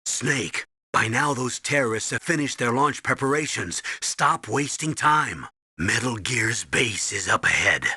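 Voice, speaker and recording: An actor playing a stern older colonel in a video game, briefing and giving orders with a husky, commanding voice. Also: male voice, mid-range voice, lively intonation, unhurried, pushed and bright, no echo, clean studio sound, exaggerated voice. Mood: urgent, commanding. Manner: commanding, urgent, instructive.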